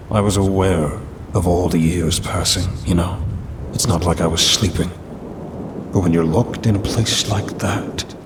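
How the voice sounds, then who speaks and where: A man's voice: low-pitched, background noise. A man voicing a centuries-old demon in an ASMR audio roleplay, formal and pompous, grumbling dryly yet caring. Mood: bitter, weary. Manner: formal, pompous, dry complaining.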